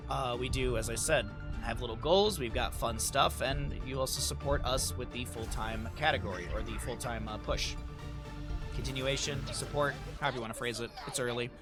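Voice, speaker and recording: A hoarse man casting a live-streamed esports match, chatty and thinking aloud, often correcting himself. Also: mid-range voice, moderate pace, music in the background.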